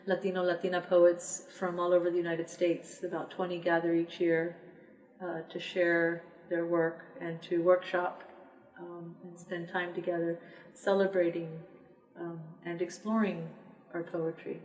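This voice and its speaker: A woman's voice: fairly low pitch, monotone, darkish tone, soft background music. Woman guest, echoey and distant. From Texas border region. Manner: thoughtful, measured, with frequent restarts. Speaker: interview guest, poet and publisher. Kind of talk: reflective conversational interview. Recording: echoey room, distant mic.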